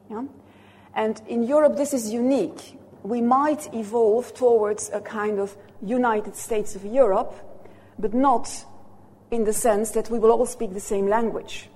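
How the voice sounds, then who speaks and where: A woman's voice: on the high side, mellow, breathy edge. Flemish woman lecturer, English as third language, asks "hmm?" of the room, long hall reverb. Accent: Flemish Dutch. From Belgium. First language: Dutch. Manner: checks in with the audience through short "hmm?" and "yeah?" tags. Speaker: university lecturer.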